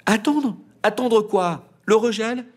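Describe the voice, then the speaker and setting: A man's voice: sits high, slow pace, voice pushed up. A man voicing a lovestruck, defiant teenage girl flower in a storybook reading, indignant and pleading.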